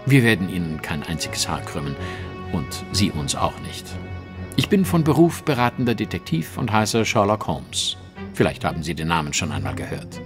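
Sardonic male detective, lowish pitch, animated melody, courteous irony, radio play, faint music behind. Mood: calm, menacing. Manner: polite, measured, ironic. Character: coolly confident, sardonic Victorian consulting detective. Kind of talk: German audio drama acting.

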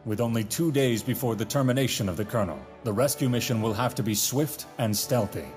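A man's voice: medium pitch, a little fast, darkish tone. A man reading scripted narration and credits as the announcer of an audio drama, in a dramatic style. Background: orchestral film music.

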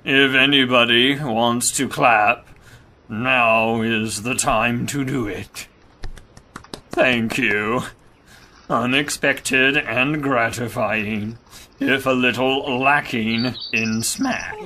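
A man playing a gloomy, self-important donkey poet in a children's audio drama, ponderous, halting and grumbling. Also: medium pitch, steady tone, bright, forceful tone. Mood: gloomy, reciting.

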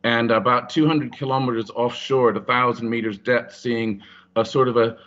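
A man speaking on a panel over a video call, halting with many restarts and fillers. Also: mid-range voice, fairly even intonation, a bit bright. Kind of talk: panel discussion. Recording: muffled video call audio.

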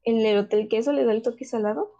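A woman's voice: a little high, fast, dark tone, lo-fi sound. Young northern Mexican woman, slangy. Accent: northern Mexican Spanish. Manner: slangy, laid-back, candid. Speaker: podcast co-host. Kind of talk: casual chat.

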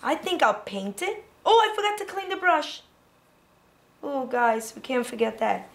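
Animated, high-pitched woman teaching preschoolers. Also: a little slow, creaky. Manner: animated, encouraging, talking young children through each step. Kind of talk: children's educational demonstration. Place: indoor classroom.

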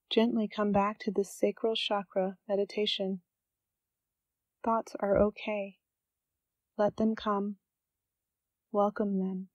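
A woman leading a scripted guided meditation, giving gentle, calm instructions with unhurried pauses. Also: medium pitch, no room sound, lo-fi sound.

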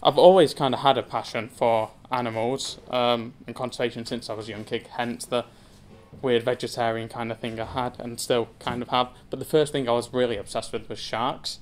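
A young man in his twenties from England speaks at a lectern in a wood-panelled room before a seated audience. His delivery is hesitant and casual, peppered with ums and light self-deprecation, at an ordinary mid pitch. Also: fairly even intonation, a little fast. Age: 20s. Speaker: zoo community engagement assistant giving a talk. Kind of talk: personal story talk to an audience.

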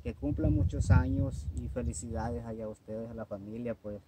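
A man's voice: medium pitch, fairly even intonation, moderate pace. An older man with a Salvadoran Spanish accent, chatting in a folksy way outdoors in dry countryside while he walks, points out plants and climbs a tree. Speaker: vlog personality. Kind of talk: casual outdoor chat. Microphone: camera held by companion. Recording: loud outdoor noise.

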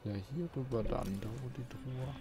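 Man, medium pitch, casual gaming commentary, thinking aloud, self-questioning, clear game music in background. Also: moderate pace. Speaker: let's play host.

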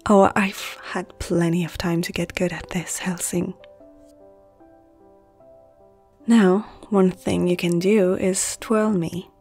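Slow, soft-spoken flirtatious vampire noblewoman, played by a woman. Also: lowish voice, fairly even intonation, husky, faint music behind. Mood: teasing, instructive. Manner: soft, intimate, teasing, often dropping to a whisper. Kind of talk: ASMR roleplay.

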